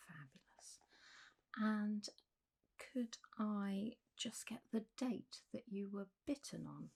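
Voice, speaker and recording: Gentle, half-whispering woman as a clinic doctor. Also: mid-range voice, creaky, slightly rough, exaggerated voice. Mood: calm, reassuring. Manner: gentle, reassuring, unhurried, drifting into whispers. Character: calm, reassuring female clinic doctor for zombie patients. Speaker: ASMR performer. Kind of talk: soft-spoken ASMR medical roleplay.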